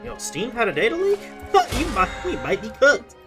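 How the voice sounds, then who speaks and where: Lively, slangy young game streamer. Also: medium pitch, normal speed. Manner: slangy, profane, rambling to self. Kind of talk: casual gameplay commentary. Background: game music.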